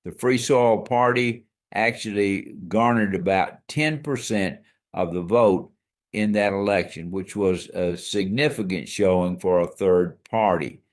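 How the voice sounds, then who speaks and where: Low-voiced man explaining history. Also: unhurried. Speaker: co-host. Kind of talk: scripted history podcast chat.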